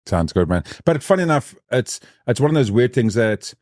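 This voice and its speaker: A middle-aged man hosting a podcast, talking in a relaxed, rambling way with lots of "bro" and "you know". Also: medium pitch, moderate pace, no room sound, clean studio sound. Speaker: podcast host. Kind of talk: casual podcast chat.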